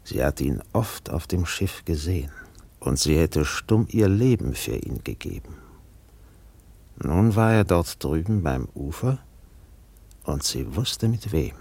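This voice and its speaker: Deep, gravelly male radio-play narrator. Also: animated, darkish tone, no echo. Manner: grave, deliberate. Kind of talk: scripted literary radio drama narration.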